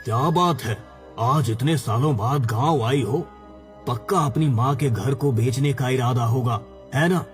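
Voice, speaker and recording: Blunt, accusing elderly villager, acted by a male narrator for animated horror: mid-pitched, agitated scolding. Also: measured pace, natural voice. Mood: suspicious. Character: suspicious, scolding old village uncle. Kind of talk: animated horror story acting. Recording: background music.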